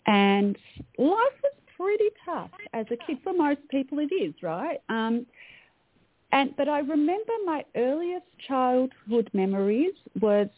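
A woman's voice: sits high, big pitch swings, measured pace, no room sound. Australian female coach, podcast guest, dry narrow-band audio, earnest tone. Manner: earnest, reflective, frequent "um" fillers. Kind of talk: podcast interview conversation. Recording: tinny phone-like line, dry.

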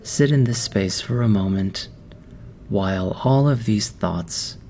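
A man with a fairly low voice leads a guided meditation over clear background music. He speaks very slowly and gently, leaving long pauses between soothing instructions.

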